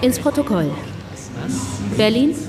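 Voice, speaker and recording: Female radio drama role: commanding, merciless party official, high register, roomy echo, loud music. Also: lively intonation, takes it slowly. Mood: cold, commanding. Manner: curt, commanding. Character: stern, unforgiving female party leader passing judgement. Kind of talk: German radio play acting. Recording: echoey room, loud music and crowd.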